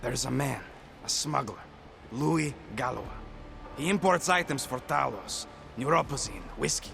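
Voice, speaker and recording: An actor playing a frightened, weary informant in a video game, gravelly and halting, pleading and bitter as he fears for his family. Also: mid-range voice, lively intonation, noisy background. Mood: resigned, guarded. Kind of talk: dramatic video game acting. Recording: game dialogue under loud music. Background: game music.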